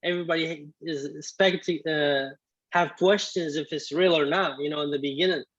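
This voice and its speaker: A man in his twenties, born in Iraq with Arabic as his first language, telling his story as a guest in a casual interview, halting with many restarts. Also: on the high side, steady tone, fairly bright tone, slightly rough, no room sound, dull audio. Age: 20s. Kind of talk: casual video-call interview.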